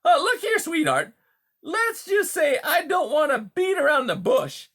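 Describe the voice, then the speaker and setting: Loud, high-pitched, pushy beaver. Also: wildly swooping pitch, a little slow, heavily acted. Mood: falsely polite menace. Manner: brash, folksy, talking over others. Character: huge male beaver, pushy cliché-spouting smooth-talking bully. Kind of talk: audiobook character dialogue.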